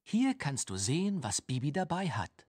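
Husky, animated game helper. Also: female voice, low voice, normal speed, dry recording, clean studio sound, exaggerated voice. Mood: explaining. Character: helper voice explaining a children's witch game. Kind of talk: scripted game tutorial narration.

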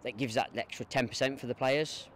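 A middle-aged man, a football coach, giving measured, hesitant answers in a post-match interview outdoors. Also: medium pitch, fairly even intonation, fairly bright tone. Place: open football stadium pitchside, outdoors. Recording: outdoor noise behind.

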